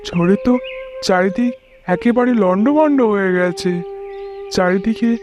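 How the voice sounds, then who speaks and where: A man's voice: high-pitched, animated, unhurried, over music. A man voicing a caring bird husband in a children's cartoon, speaking in an earnest, soothing way. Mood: alarmed.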